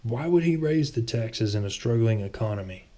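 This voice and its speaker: A man voicing a blunt, bitter, stubborn swordsman in a storybook reading, curt and gravelly. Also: mid-range voice, animated, normal speed, warm tone, natural voice. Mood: scornful. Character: gruff, bitter, stubborn swordsman, old friend.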